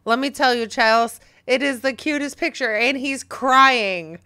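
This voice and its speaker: Woman, thirties, podcast co-host, casual chat, teasing, animated, slightly high voice, smooth tone. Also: a little slow.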